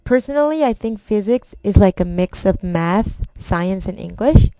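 A woman's voice: mid-range voice, animated, normal speed, warm tone, dry recording, rough recording. A young woman from Korea, a college student with a strong Korean accent whose first language is Korean, reading a personal introduction carefully. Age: young adult.

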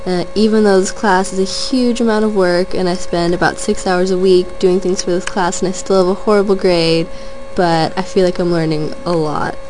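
A woman's voice: on the low side, steady tone, moderate pace, background noise. A young woman in her twenties, a college student, talking about herself in an earnest, rambling monologue that strings thoughts together with "and". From Southern California. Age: 20s. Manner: earnest, rambling, chains clauses with "and". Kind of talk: casual personal monologue.

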